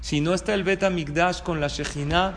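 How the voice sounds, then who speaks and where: Mexican male rabbi, higher-pitched voice, informal sermon full of questions, echoing hall with room noise. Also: fairly even intonation, moderate pace, a bit bright. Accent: Mexican Spanish. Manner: conversational, checking in with listeners through rhetorical questions. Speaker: rabbi giving a talk. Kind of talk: informal religious sermon with anecdotes. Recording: reverberant hall, clear background room noise.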